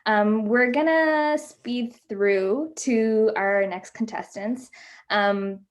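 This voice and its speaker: A woman's voice: fairly high pitch, very slow. Young Canadian woman moderating a panel. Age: young adult. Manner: warm affirming, filler-heavy. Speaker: event moderator.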